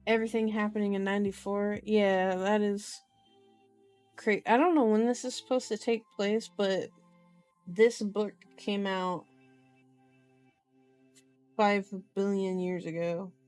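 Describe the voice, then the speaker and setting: A woman's voice: mid-range voice, steady tone, unhurried, pauses often, a little mellow, clear, resonant tone, faint music behind. A woman hosting an ASMR book reading, playful and chatty, mixing narration with casual asides. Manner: playful, chatty, strays from the text into comments. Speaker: host of an ASMR channel.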